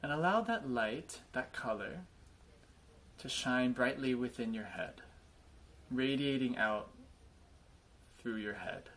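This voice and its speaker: A man leading a guided body scan meditation, speaking in gentle invitations with frequent pauses. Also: medium pitch, animated, a little hiss or hum.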